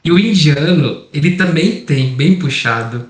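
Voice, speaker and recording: Brazilian male co-host, a bit high voice, echoey room on a call, loose slangy chat. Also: expressive, unhurried. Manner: casual, with slang asides. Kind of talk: casual interview chat.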